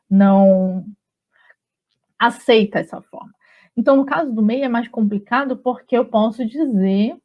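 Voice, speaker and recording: A woman with a Brazilian Portuguese accent teaching a grammar lesson in a relaxed, chatty way, often checking in with her students. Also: mid-range voice. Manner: relaxed and chatty, with frequent tag questions. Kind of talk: conversational online grammar lesson. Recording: slightly dull lo-fi audio.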